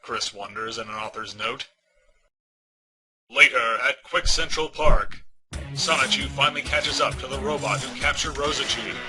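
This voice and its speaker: A man's voice: medium pitch, moderate pace, pushed and bright, poor audio. A man narrating a comic-book story as an audiobook, reading from a script. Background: music.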